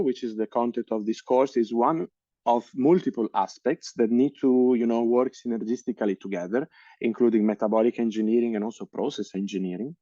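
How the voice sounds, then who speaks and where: A man in his thirties with an Italian accent lectures over a video call. His tone is mellow and somewhat dark. He hesitates often and restarts sentences. The sound is dry and a little muffled. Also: mid-range voice, dry recording. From Italy. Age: 30s. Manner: hesitant, many fillers and restarts. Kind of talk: online lecture.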